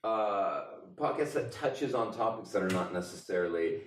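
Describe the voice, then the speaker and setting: A man's voice: deep voice, animated, normal speed, a little hiss or hum. A man hosting a casual comedy podcast game segment, talking in joking, mock-announcer patter. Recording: echoey room, distant from mic.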